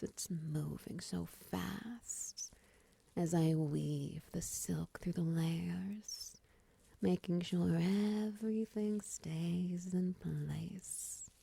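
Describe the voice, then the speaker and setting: A woman playing a friendly, teasing half-spider monster in an intimate audio roleplay, soft-spoken, breathy and often close to a whisper. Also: on the low side, no room sound, exaggerated voice. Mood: soothing, attentive. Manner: gentle, teasing, slow murmurs with soft chuckles.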